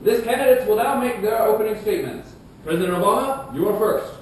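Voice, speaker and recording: A man's voice: expressive, fast. A man playing a formal debate moderator and commentator in a scripted puppet show. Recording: echoey room, distant mic, rumbling noise.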